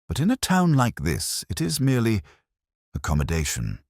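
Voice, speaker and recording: A man voicing a cold, evasive older male town official in a measured, formal, condescending way. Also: fairly low pitch, huge pitch swings, dry recording, clean studio sound, voice pushed up, exaggerated voice. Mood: sharp, defensive.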